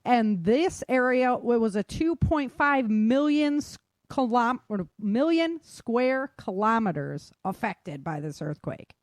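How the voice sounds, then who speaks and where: A woman's voice: fairly high pitch, lively intonation, slow pace, no echo, clean studio sound. A woman with an American accent hosting a casual podcast discussion, explaining her points and often checking in with "right?" and "you know". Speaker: podcast host and author.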